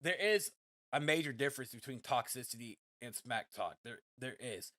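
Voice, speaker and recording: A man's voice: medium pitch, animated, moderate pace, a bit bright. A man hosting a podcast in casual chat, talking loosely, trailing off and restarting. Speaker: podcast host.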